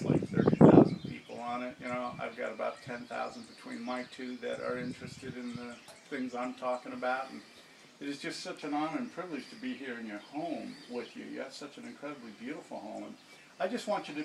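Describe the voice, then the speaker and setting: A man's voice: medium pitch, gravelly. A man hosting a casual interview in someone's home, effusive, laid-back and earnest. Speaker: interviewer, host of his own channel. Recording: roomy, distant, noisy recording.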